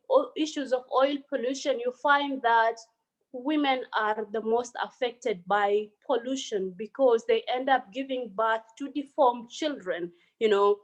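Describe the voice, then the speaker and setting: Female panelist, slightly high voice; earnest, filler-heavy delivery over a phone. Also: low-quality recording. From South Sudan. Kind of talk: online panel presentation.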